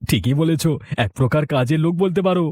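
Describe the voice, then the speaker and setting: A man's voice: on the high side, a little fast, a little mellow, natural voice. A man playing a landlord's smug, boastful henchman in a village drama. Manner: boastful, self-satisfied. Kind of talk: melodramatic village drama dialogue.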